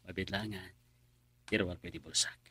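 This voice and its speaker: A man's voice: low-pitched, fairly even intonation, a little fast, bright, forceful tone, slightly rough, dry recording, exaggerated voice. A man playing an earnest, lovestruck young suitor in a radio drama. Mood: tender, bashful. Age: young adult. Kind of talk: Filipino radio drama acting.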